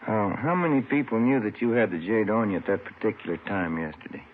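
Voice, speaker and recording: A man's voice: on the low side, a little fast, natural voice. A man playing a terse, matter-of-fact police detective in a 1950s radio drama. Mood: businesslike. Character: laconic, businesslike male police detective partner. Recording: tinny, faintly noisy old radio recording.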